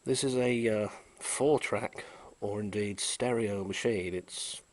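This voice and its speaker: This is a man with a fairly low voice, talking through a piece of old equipment in a relaxed, hands-on way. He is technical but unhurried, and he drops in "uh" between phrases as he shows each part. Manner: unhurried, technical, with frequent "uh" pauses. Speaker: vintage electronics restorer. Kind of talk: casual show-and-tell demonstration.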